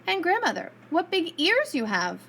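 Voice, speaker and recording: A woman's voice: extremely high pitch, wildly swooping pitch, normal speed, a bit bright, slight background noise, voice pushed up, exaggerated voice. A woman voicing a sweet, trusting little girl in a fairy tale, with an earnest, innocent delivery. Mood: puzzled.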